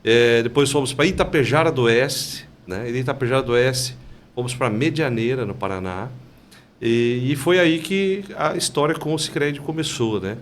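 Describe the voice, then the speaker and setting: Man, mid-pitched, calm storyteller, podcast guest, faint ambience. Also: normal speed, slight background noise. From Santa Catarina, Brazil. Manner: calm, storytelling, frequent "né?" tags. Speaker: bank branch manager, guest. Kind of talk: casual podcast interview.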